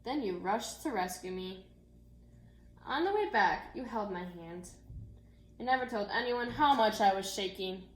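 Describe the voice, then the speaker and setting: A woman's voice: mid-range voice, measured pace. A woman reading a children's story aloud expressively, in the manner of a teacher talking to young pupils. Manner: animated storytelling with asides to young listeners. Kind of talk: expressive children's picture-book read-aloud. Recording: echoey room.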